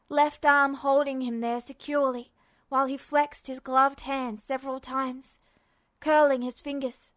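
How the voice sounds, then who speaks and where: A woman reading fan fiction aloud as scripted narration, with dramatic, expressive storytelling. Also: sits high. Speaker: amateur audiobook narrator.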